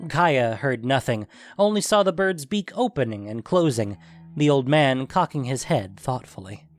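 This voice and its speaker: A man's voice: medium pitch, expressive, moderate pace. A man reading scripted fantasy story narration as the narrator. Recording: music behind the voice.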